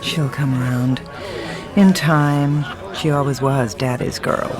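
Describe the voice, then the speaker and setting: A woman's voice: extremely low pitch, steady tone, slow pace. An actress playing a polite, coaxing married society lady of the 1960s in a radio drama, with music behind her.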